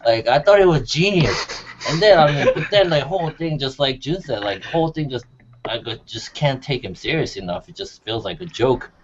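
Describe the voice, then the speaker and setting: A man's voice: mid-range voice, lively intonation, fairly quick. A man co-hosting a casual chat, talking in a slangy, sweary way. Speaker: co-host. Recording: muffled, faint room noise.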